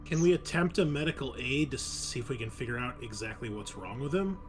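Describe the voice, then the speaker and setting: A man's voice: mid-range voice, lively intonation, brisk, crowd noise. A man chatting casually as he plays a character in a tabletop roleplaying game. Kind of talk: casual chat while roleplaying. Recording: clear music behind the voice.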